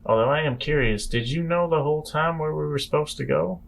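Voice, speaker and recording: A man's voice: on the high side, fairly quick, vocal fry. A man playing a tabletop roleplaying game, talking in a conversational in-character way.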